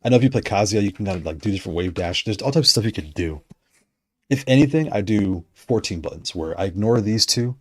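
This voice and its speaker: A man with a very low voice talks loosely on a livestream, starting sentences over and wandering between points. His voice is wearing hoarse, and the sound is close and dry with no room around it. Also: animated, fast talker, a little mellow, no echo. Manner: rambling, self-correcting, conversational. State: losing his voice. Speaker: streamer discussing controllers. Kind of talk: casual livestream chat.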